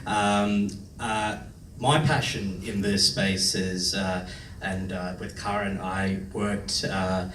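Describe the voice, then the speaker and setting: A man in his thirties, a panelist, speaking earnestly and with measured pauses and frequent ums. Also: deep voice, flat delivery, fairly bright tone. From India. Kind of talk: panel discussion remarks. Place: large function room. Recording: echoey room, distant mic, faint hiss.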